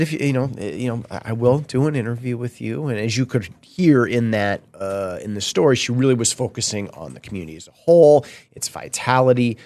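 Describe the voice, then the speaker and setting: A man's voice: medium pitch, darkish tone. A man, a news reporter explaining his story hesitantly in a studio chat. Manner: hesitant, many fillers and restarts. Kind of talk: unscripted studio conversation.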